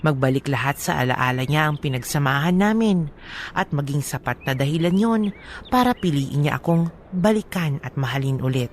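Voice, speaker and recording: A woman's voice: low voice, expressive, moderate pace, slight background noise. An actress playing a lovelorn young woman who narrates her own radio drama story with wistful, reflective regret. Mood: regretful, reflective.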